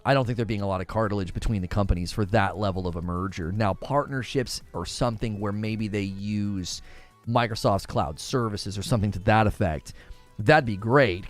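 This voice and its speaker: A man hosting a casual gaming news podcast, talkative and loose, repeating words as he thinks aloud. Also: fairly low pitch. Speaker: podcast host.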